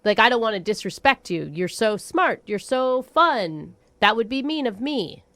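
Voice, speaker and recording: A woman's voice: a little high, animated, moderate pace, a bit bright, no room sound. A woman co-hosting a radio show, chatting casually and giving frank advice.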